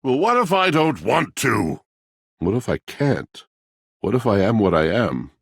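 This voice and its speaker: An actor playing a proud, melancholy, antiquated washing machine in a video game, speaking in a theatrical, formal, brooding way. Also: male voice, sing-song, measured pace, a bit bright, no echo, clean studio sound, full character voice. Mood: indignant anguish. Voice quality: very deep, husky. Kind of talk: video game character voice acting.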